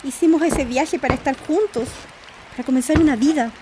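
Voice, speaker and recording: A woman's voice: high-pitched, brisk, lightly acted. A woman playing a hurt, reproachful woman confronting her old love in a radio drama, earnest. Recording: faint noise, rain ambience. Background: rain falling.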